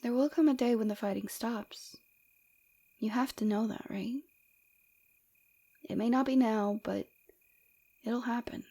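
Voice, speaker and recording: Mellow, flirtatious villainess played by a woman. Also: on the high side, measured pace, long pauses. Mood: protective, serious. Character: flirtatious, forward female villain, rebel fighter, secretly caring. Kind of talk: ASMR roleplay acting.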